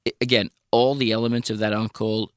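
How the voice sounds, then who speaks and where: Low voiced guy guesting on a radio show, talks loose and restarts a lot, dry close mic. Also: flat delivery, a little fast, smooth, no echo. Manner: chatty, self-correcting, laughs easily. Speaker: actor and producer, guest. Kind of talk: interview.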